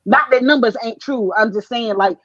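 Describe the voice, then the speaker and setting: A woman's voice: on the high side, moderate pace, a bit bright, no echo, highs cut off. A woman arguing her point in a casual panel chat, emphatic and slangy, often repeating herself.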